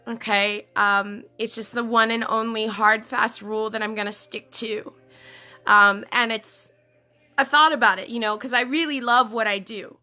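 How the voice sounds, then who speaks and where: A woman's voice: a little high, pushed and bright. A woman life coach talking casually to her followers on a livestream, earnest and repetitive, often checking in with "okay?". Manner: earnest, repeats phrases, many fillers and "okay?". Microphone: phone or webcam.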